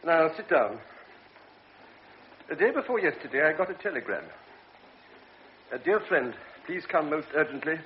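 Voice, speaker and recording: An actor playing a grave older doctor, speaking in a measured, lecturing way in a radio drama. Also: male voice, on the high side, very animated. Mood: stern. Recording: dull old radio recording with faint hiss.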